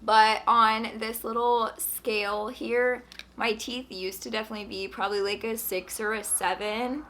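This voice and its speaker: A young woman with a hoarse voice from a sore throat, chatting casually as she reviews beauty products, full of filler words. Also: fairly high pitch, steady tone, measured pace, a bit bright. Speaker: beauty vlogger.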